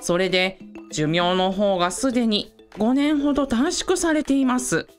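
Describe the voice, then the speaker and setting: A woman's voice: on the high side, moderate pace, faint music behind, lightly acted. A woman playing a composed, dutiful assistant in a radio drama, polite and professional as she explains things. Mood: explanatory, professional. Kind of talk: scripted radio drama acting.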